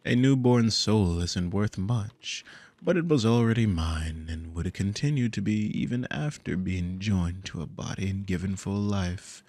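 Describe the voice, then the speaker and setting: A man's voice: lowish voice, measured pace, a little mellow, dry recording. A man playing a dominant, possessive demon in an ASMR audio roleplay, speaking in a commanding, condescending, theatrical drawl with smug, silky menace. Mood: musing, possessive. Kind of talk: ASMR audio roleplay, intimate scripted monologue.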